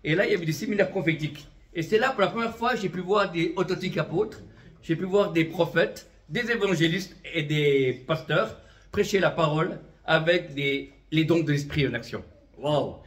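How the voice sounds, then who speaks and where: A man in his fifties, from Vietnam, tells his religious testimony as an itinerant minister. His voice sits a little high for a man, and he speaks with fervent conviction. He scatters amens and voilàs through his talk and chuckles lightly now and then. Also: normal speed. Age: 50s.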